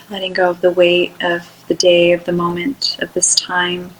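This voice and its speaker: A woman leading an unscripted guided meditation in a soothing, unhurried way, with long pauses between short phrases. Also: lowish voice, background noise.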